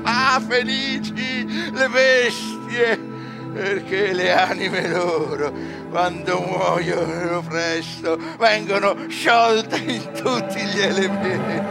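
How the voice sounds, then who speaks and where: Doomed scholar raving in terror, super high strained pitch, very slow theatrical delivery, music behind. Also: male voice, steady tone, creaky, exaggerated voice. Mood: bitter envy. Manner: declamatory, anguished cries and pleading. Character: damned scholar, anguished, terrified, raving at approaching hell. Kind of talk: theatrical verse tragedy acting for radio. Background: dramatic music.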